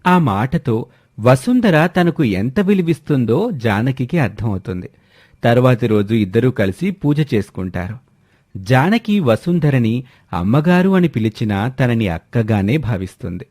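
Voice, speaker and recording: Guy narrating a moral story, medium pitch, really dry recording. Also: expressive, measured pace, a little mellow, no echo.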